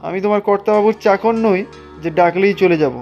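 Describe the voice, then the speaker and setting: A quick-talking young village farmer. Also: male voice, high-pitched, steady tone, muffled recording, faint music behind. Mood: defiant. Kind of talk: Bengali cartoon voice acting.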